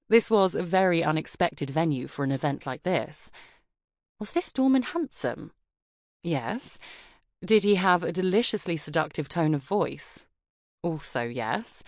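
Woman reading an audiobook, low voice, super dry close mic, steady expressive delivery. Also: dry recording. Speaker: audiobook narrator. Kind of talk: scripted first-person narration. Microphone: close studio mic.

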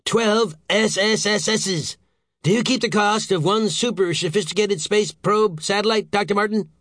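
A furious older government secretary, acted out by a male audiobook reader, blustering and hectoring. The voice is pushed high and loud as he howls and snaps with theatrical indignation. The recording is close and dry. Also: animated, fast, no room sound. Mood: enraged, incredulous. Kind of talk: audiobook character voice.

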